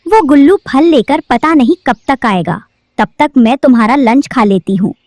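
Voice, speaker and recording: A woman's voice: high-pitched, animated, normal speed, a little mellow, no room sound, studio quality. A woman voicing a bossy, greedy female crow teacher in a cartoon, with a scolding manner. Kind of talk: cartoon voice acting.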